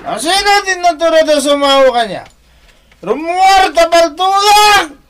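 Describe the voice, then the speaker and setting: Belligerent, high-strained rich bully. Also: male voice, expressive, unhurried, full character voice. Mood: furious, threatening. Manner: belligerent, shouting. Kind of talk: Ilocano radio drama acting.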